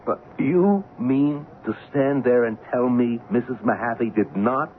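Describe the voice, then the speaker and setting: An actor playing a brusque, easily alarmed producer in an old-time radio drama, indignant and impatient. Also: male voice, mid-range voice, a little slow, natural voice. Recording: phone-like, noisy old radio recording.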